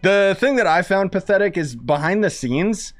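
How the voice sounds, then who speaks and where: A man's voice: high voice, expressive, normal speed. A man giving casual gameplay commentary as a streamer, slangy and opinionated, rambling as he goes. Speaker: gaming streamer.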